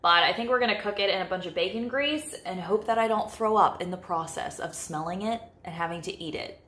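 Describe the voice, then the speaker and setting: A woman's voice: lowish voice, brisk, fairly bright tone. A young woman in her twenties chatting casually to camera in her home kitchen, with lots of fillers like "like" and "you know.". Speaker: vlogger. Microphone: camera in front of her at close range.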